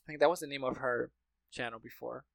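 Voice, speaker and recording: A man's voice: medium pitch, steady tone, quick pace, creaky, dry recording. A man from Brazil with a Brazilian accent, a native Portuguese speaker, chatting casually and telling stories with plenty of "like" and "you know". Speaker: podcast speaker, language learner. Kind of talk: casual conversation.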